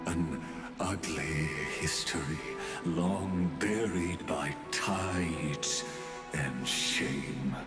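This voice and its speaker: An echoing, cavernous-low voice plays an ancient, grandiose game scholar. He delivers solemn, portentous monologues with deliberate patience. Loud music swells behind him. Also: exaggerated voice. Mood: commanding, grave. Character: ancient imprisoned male scholar, grandiose, ominous, patient philosopher. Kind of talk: theatrical scripted game monologue.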